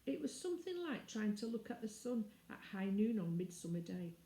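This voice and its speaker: A woman reading a children's story aloud as an expressive narrator. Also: medium pitch, moderate pace. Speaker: storybook reader. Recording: roomy indoor echo.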